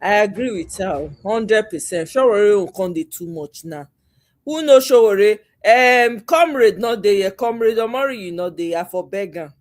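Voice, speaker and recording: A woman's voice: medium pitch, expressive, moderate pace, a little mellow. A Nigerian woman hosting a political commentary vlog, talking bluntly and slipping Pidgin into her English. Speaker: host.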